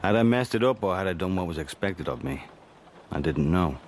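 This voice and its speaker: An actor playing a world-weary, sardonic Cold War spy, narrating a radio drama in a dry, understated manner. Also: male voice, low-pitched, lively intonation, a little fast, background noise. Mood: brooding, wry. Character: wry, world-weary Cold War secret agent, insubordinate.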